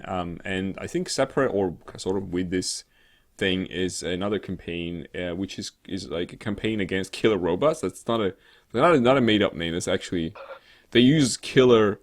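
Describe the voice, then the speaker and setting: A man co-hosting a show in casual comedic chat, talking in a hesitant, rambling way full of filler sounds. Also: deep voice. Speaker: co-host of a weekly show. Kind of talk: casual comedic chat about tech news.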